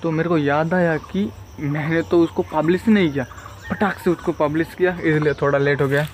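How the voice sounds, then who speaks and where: A man's voice: a little high, steady tone, a little fast. A young man chats casually and ramblingly in a selfie vlog, recorded outdoors on a phone. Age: young adult. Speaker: vlogger. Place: outdoors in a rural village. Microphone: phone at arm's length. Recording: noisy outdoor phone recording, insects, vehicles.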